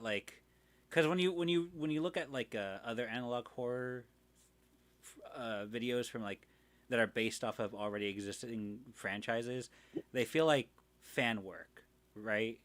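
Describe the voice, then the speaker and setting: A man co-hosting a casual stream, giving opinions in a halting, blunt way with dry humour. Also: mid-range voice, a bit bright.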